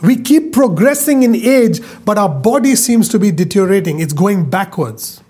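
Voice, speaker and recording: Husky, high-voiced man preaching. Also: expressive, dark tone. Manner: emphatic, repeats phrases, stretches key words slowly. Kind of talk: sermon.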